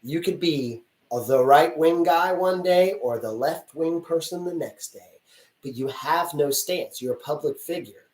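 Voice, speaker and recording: A man's voice: a little high, unhurried, darkish tone. A man working as a casting director, giving blunt, chatty advice to actors in a live Q&A and acting out little dialogues as he goes. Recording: roomy sound from a distance.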